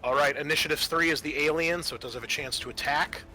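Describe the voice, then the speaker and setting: A man acting as game master, narrating a tabletop roleplaying session in a halting, improvised way with many fillers. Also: a little high, fairly even intonation, pushed and bright, soft background music, rough recording.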